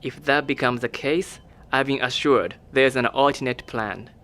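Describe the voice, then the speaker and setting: Figure: man playing a calm, formal official. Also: mid-range voice, moderate pace. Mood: cool. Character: cool, evasive male government official. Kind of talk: audio drama acting.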